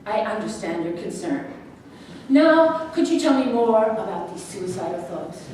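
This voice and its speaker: A woman in a staged play reading, playing a composed psychiatrist who asks probing questions in a measured, clinical way. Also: mid-range voice, big pitch swings, darkish tone. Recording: very echoey room, distant mic, faint noise.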